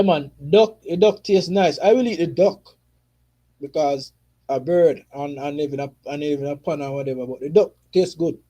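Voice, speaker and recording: A man's voice: a little high, dark tone. A young Jamaican man with a Jamaican accent, casually chatting about football in patois-flavored talk. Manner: patois-flavored, chatty, frequent "you see me". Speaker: football channel host.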